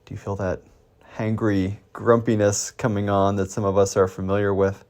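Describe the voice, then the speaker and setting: A man teaches mindfulness through a guided meditation. His pitch is a little low, and he offers gentle prompts and questions, leaving pauses between them. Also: completely flat delivery.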